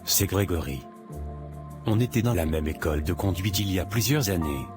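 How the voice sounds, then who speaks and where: A man's voice: deep voice, lively intonation, normal speed. A man playing a cocky, macho young man in a cartoon, boastful and dismissive in manner. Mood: friendly. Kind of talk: cartoon voice acting. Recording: music behind the voice.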